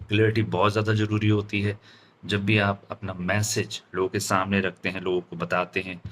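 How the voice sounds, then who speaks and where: An Indian man giving an instructional lesson as a public speaking trainer, deliberate and stressing his key words. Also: deep voice, fairly bright tone, slight background noise. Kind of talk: instructional lesson mixing Hindi and English.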